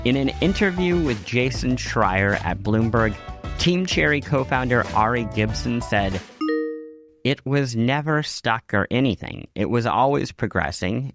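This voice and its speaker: A man's voice: medium pitch, expressive, a little slow. Man hosting a podcast over music. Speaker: podcast host. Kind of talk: scripted news narration and show sign-off. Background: music behind the voice.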